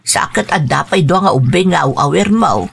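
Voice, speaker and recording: A woman's voice: low voice, animated, moderate pace, gravelly, slightly rough, full character voice. A woman playing a caring, concerned elderly mother and grandmother in a radio drama, sighing and offering advice. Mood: gently concerned. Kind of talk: Ilocano radio drama acting.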